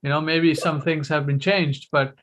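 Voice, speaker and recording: A man's voice: on the high side, fairly even intonation, normal speed, a bit bright. Chatty host man with raised voice. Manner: chatty, asks lots of questions, many fillers. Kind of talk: casual video-call discussion. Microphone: video call.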